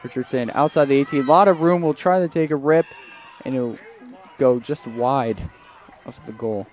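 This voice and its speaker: A young man doing live play-by-play for a night soccer game outdoors, his voice strained, getting louder on attacks and correcting himself often. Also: medium pitch, normal speed. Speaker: play-by-play commentator. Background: crowd noise.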